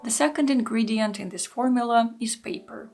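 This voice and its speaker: Calm woman with vocal fry. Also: a little high, faint music behind. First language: Russian. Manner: calm, unhurried, introspective. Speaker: art journaling creator. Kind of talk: reflective voice-over narration.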